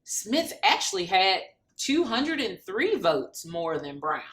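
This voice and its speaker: A woman reads aloud for children with a bright, clear-cut edge to her voice. She takes her time, and her pitch swings widely as she expresses each phrase. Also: very animated, takes it slowly. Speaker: reader of a children's picture book.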